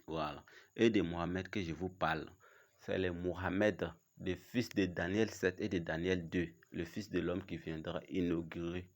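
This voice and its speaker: Man with a cold, deep voice, preaching to viewers, halting and insistent. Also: steady tone. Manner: challenging, repetitive, halting. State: has a cold. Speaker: religious video host. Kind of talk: casual religious preaching to camera.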